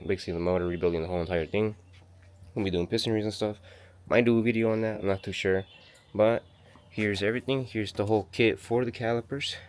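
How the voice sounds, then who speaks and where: A man hosting his own car-repair channel in a home garage. He is sick and losing his voice, and he talks in a casual, rambling way, correcting himself as he walks through a how-to. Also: sits low. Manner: rambling, self-correcting, slangy. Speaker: DIY car channel host.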